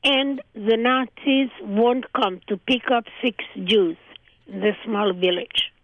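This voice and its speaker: An older woman from Transylvania, a native Hungarian speaker, recounting her childhood in an interview in measured, short phrases. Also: on the high side, fairly even intonation, no room sound. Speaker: guest. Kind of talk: oral history interview. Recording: dry tinny phone line.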